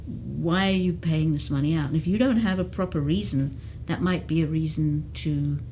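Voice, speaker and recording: A woman's voice: low-pitched, moderate pace, mellow, a little hiss or hum, poor audio. A woman who works as a geriatric case manager, explaining at length in an interview with frequent restarts. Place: community TV studio.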